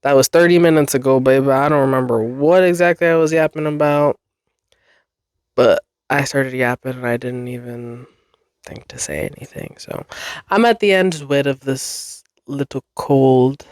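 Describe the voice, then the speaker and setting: A podcast host recovering from a cold, sounding a bit nasal and congested, rambling chattily in a basement with frequent laughs. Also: male voice, medium pitch, normal speed, a little mellow, clear, resonant tone, no echo, clean studio sound. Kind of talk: casual solo podcast chat. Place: basement room.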